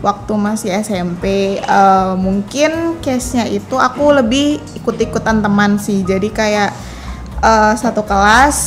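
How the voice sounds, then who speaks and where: A woman in her twenties answering interview questions casually, pausing often with filler sounds as she searches for words. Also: medium pitch, faint music behind. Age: 20s. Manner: hesitant, many filler sounds.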